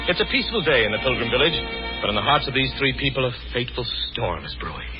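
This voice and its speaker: A man's voice: mid-range voice, very animated, fast talker, over loud music. A male radio announcer reading scripted advertising and narration in a 1940s style. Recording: muffled 1940s radio recording.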